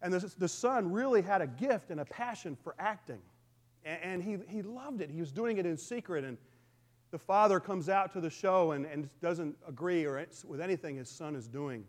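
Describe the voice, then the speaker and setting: A middle-aged man with a booming voice, preaching a conversational sermon to his congregation. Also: moderate pace. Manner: lively, conversational preaching with call-and-response. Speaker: pastor.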